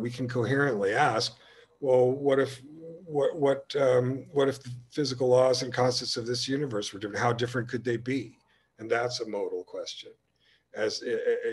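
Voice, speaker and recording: A man's voice: mid-range voice, breathy edge. A man giving a recorded slide lecture on philosophy, thinking aloud and often restarting his sentences. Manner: thinking aloud with frequent restarts and repeated words. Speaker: humanities lecturer.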